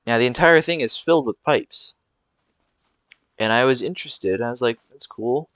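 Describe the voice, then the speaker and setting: A man's voice: mid-range voice, moderate pace, a bit bright, no echo. A young man giving rambling, casual commentary over gameplay, with frequent fillers and restarts. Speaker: gameplay video creator.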